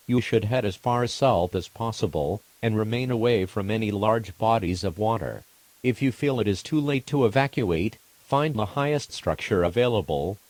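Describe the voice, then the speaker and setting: A man reading a scripted weather emergency warning, formal, measured and impersonal. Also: on the low side, darkish tone, no echo.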